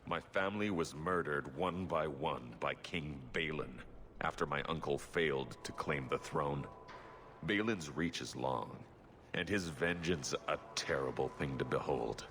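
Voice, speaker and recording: A proud dwarven noble in exile, with an extremely deep voice. He speaks gravely and formally in a fantasy video game, with faint ambient noise behind him. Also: measured pace, fairly bright tone. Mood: solemn, grateful. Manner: formal, solemn.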